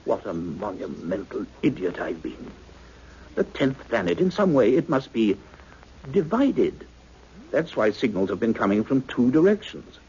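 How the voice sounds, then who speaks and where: An actor playing a commanding scientist in a 1960s radio drama, grave and authoritative. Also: male voice, medium pitch, darkish tone, husky, heavily acted. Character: commanding male scientist doctor facing an alien threat, also narrating. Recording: dull, noisy old radio recording.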